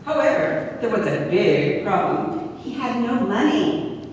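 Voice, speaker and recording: A woman's voice: very animated, unhurried, a little mellow. Woman playing a kindly friend, echoey hall. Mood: friendly. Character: kindly, helpful woman friend offering prayer. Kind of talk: amateur stage radio play. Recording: distant echoey hall, faint rumble.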